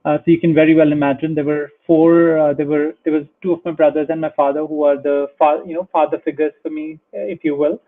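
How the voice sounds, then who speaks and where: A man's voice: a little high, little pitch movement, fast, hardly any pauses. A man from India, a guest in a remote interview, speaking in a friendly way with frequent hesitations and fillers. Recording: tinny phone-like line.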